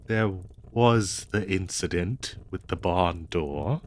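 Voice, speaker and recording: A man playing a prim, devoted, fretful 19th-century husband in a comedic audio roleplay, speaking in florid, halting, theatrical old-fashioned phrases. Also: low-pitched, a little hiss or hum. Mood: troubled, hesitant.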